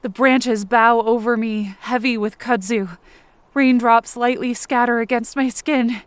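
A woman acting an anxious, self-blaming, injured character who narrates her ordeal in short, halting phrases for an audio drama. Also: fairly high pitch, fairly even intonation, smooth, dry recording, natural voice. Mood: strained, determined. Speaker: fiction podcast performer.

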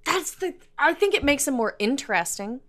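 A woman co-hosting a podcast in casual chat, with an expressive, wry manner. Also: high voice, normal speed. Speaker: podcast co-host.

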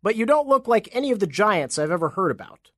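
A man's voice: high voice, sing-song, fast, fairly bright tone, no room sound, studio quality, pitched above the performer's own voice, full character voice. A man voicing an imaginative young boy in a storybook tale, polite and coaxing in manner. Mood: perplexed.

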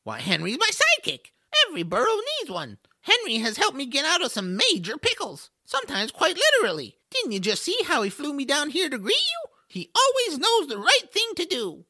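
A man voicing a boastful little male rodent adventurer in a family audio drama, squeaky and raspy, with a showy, excitable manner. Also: brisk, hardly any pauses, full character voice. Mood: chatty, proud.

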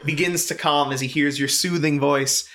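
A man's voice: fairly high pitch, fairly even intonation, moderate pace, noisy background. A man narrating a tabletop role-playing game as game master, describing scenes and calling for rolls.

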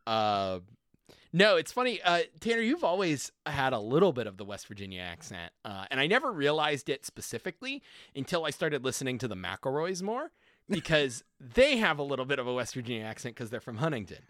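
A man hosting a cast Q&A podcast, laid-back and quick to laugh as he asks questions. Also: medium pitch, very animated, fairly bright tone, dry recording, studio quality. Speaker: podcast host and game master.

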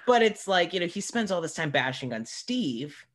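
A man hosting a podcast interview in a casual, informal way, with halting phrasing and many asides. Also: fairly high pitch, very animated, fairly bright tone, a little hiss or hum.

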